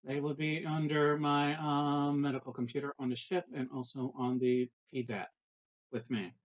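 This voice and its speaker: A man's voice: fairly high pitch, little pitch movement, normal speed, dull audio. A man casually improvising as a player in a tabletop roleplaying game, hesitant and understated.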